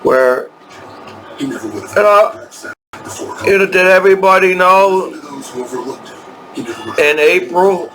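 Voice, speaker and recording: A man in his thirties talking in a rambling personal vlog, halting and repetitive with many restarts. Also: high-pitched, fairly bright tone, rough recording. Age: 30s. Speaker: vlogger.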